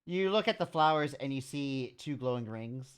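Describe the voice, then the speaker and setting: Woman GM, low pitch, lively delivery, measured narration. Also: smooth. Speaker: game master. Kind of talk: narrating a tabletop game.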